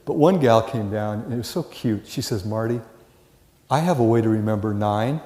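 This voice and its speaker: A man's voice: on the low side, normal speed, mellow. An older man with an American accent lecturing to a class, folksy and full of rhetorical questions. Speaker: college lecturer. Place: large indoor classroom or lecture hall. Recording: echoey lecture hall with long reverb.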